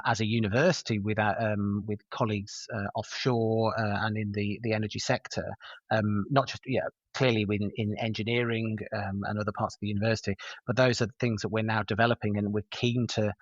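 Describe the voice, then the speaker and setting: A middle-aged paramedic lecturer with a West Midlands accent, answering interview questions hesitantly with many fillers and restarts. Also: male voice, lowish voice, no echo, dull audio. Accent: West Midlands English. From West Midlands, England.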